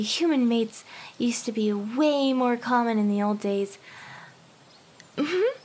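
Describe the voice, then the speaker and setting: Woman voicing a young anime harpy, high breathy voice, flustered and eager, slow halting delivery, ASMR roleplay. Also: slight background noise. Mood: excited, proud. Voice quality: breathy, often slipping into whispers. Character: hyper, nervous young female harpy courting a human.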